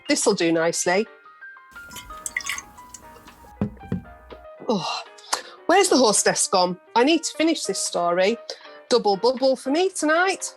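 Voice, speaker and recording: A woman acting a shameless, bawdy storyteller in an amateur radio play, theatrical and boastful. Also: a little high. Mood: relishing gossip. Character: Nancy, brazen, bawdy, shameless female storyteller. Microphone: video call from home. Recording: home video-call recording with music.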